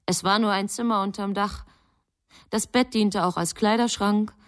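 A woman narrating a literary radio drama as a composed, measured storyteller. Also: mid-range voice, fairly even intonation, clean tone, close to an everyday voice. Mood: composed, reflective.

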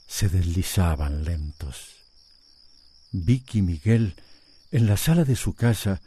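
A man's voice: low voice, warm tone, slightly rough. A man narrating a radio drama in a scripted, dramatic style.

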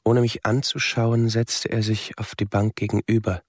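A man acting a withdrawn young man narrating his inner life in a radio play. The voice is low and husky, recorded close and dry. He speaks very slowly in short, halting phrases, melancholy and introspective. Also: level tone, dry recording, close to an everyday voice. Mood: reflective. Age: young adult. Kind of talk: literary radio drama monologue.

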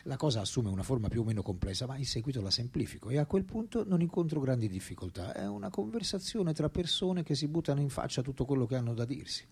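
An actor playing an ageing, self-absorbed philosopher in a radio drama, speaking lines in a theatrical, deliberate, emphatic way. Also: male voice, mid-range voice, animated, darkish tone, husky. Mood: weary, reflective. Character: famous philosopher as old man, wry, intense, self-absorbed.

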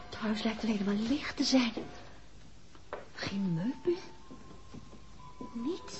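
A woman's voice: a little high, expressive, music in the background. A woman acting a detective's uneasy, observant wife in a 1960s Dutch radio drama, speaking hesitantly. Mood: hushed, uneasy. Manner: slow, hesitant, repeating words. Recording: noisy old 1960s radio recording.

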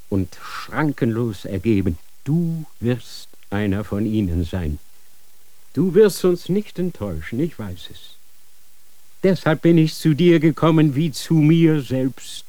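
A man's voice: medium pitch, a little mellow, dry recording, poor audio. An actor playing a stern, fanatical church inquisitor who lectures his son in a solemn, preaching manner. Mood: persuasive. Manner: solemn, preaching, grandly insistent. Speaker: inquisitor father. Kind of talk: radio drama acting.

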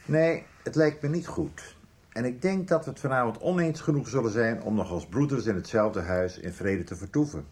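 Man acting earnest, reproachful brother, lively intonation. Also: mid-range voice, moderate pace, lightly acted. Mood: reserved, uneasy. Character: earnest, reproachful brother confronting his stubborn sibling. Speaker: radio drama actor. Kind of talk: Dutch radio soap acting.

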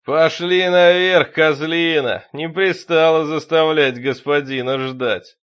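A man voicing a crazed, menacing sexton, a fanatical zealot who taunts loudly with drawn-out words in a horror audiobook. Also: fairly high pitch, expressive, lo-fi sound. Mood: aggressive, triumphant.

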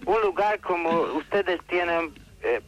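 Guy doing a bossy american army advisor on radio comedy, kinda high voice, suspicious and curt, muffled phone sound. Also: unhurried. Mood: officious. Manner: curt, officious, interrogating, often confused. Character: brusque, suspicious, condescending American military advisor, Republican. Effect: phone line. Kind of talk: radio sketch comedy, mock phone interview. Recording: dull lo-fi phone line.

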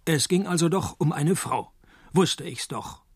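An actor playing a cynical, wisecracking male private detective in a radio play, narrating and talking in a dry, laconic deadpan. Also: a little high, unhurried, breathy edge, dry recording. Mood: dry, sardonic.

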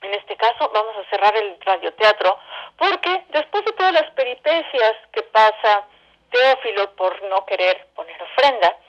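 High-voiced Mexican woman on muffled call. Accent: central Mexican. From central Mexico. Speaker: interview guest, theatre company director. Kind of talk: explanatory interview talk. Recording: dull lo-fi phone call.

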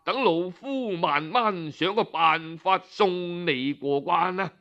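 A man voicing a kindly, courteous old physician living as a hermit, gently reassuring in a Cantonese storytelling style. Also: sits high, slow, creaky, muffled recording, full character voice. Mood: gentle, reassuring. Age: older.

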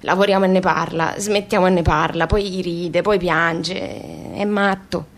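An actress playing a young working-class daughter with a southern Italian accent, talking candidly and haltingly in a theatrical radio monologue. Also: female voice, lowish voice, steady tone. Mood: bemused, chatty. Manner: colloquial, halting, repeating phrases.

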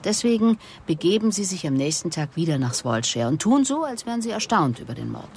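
An actress playing a composed, relentless police investigator in a German radio drama, speaking in a measured, deliberate way. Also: female voice, on the low side, big pitch swings, darkish tone, a little hiss or hum. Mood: confronting, insistent. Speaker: interrogator and narrator. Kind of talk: German radio drama acting with introspective narration.

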